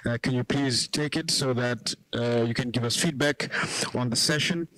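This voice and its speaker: A middle-aged man from South Africa moderating a panel, wrapping up with loose, relaxed announcements into a handheld mic. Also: mid-range voice, normal speed, no echo, low-quality recording. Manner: relaxed, loose with ums and uhs. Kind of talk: event wrap-up announcements. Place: small conference room with audience. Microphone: handheld microphone.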